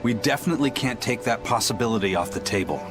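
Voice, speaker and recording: A man's voice: mid-range voice, expressive, fast talker, natural voice. An actor playing a wary male adventurer in a video game cutscene. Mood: cautious. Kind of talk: animated game cutscene acting. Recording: background music over dialogue.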